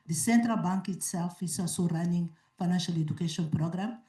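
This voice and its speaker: A woman answering as a conference panelist, in a measured way that repeats phrases for emphasis. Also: on the low side, mellow. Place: conference auditorium. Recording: echoey hall mic from a distance.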